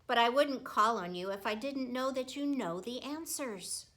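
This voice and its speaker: A woman reading the part of a strict, brisk schoolteacher in a children's storybook, firm and deliberate as she coaxes. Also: fairly high pitch, expressive, fairly bright tone. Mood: firm, questioning.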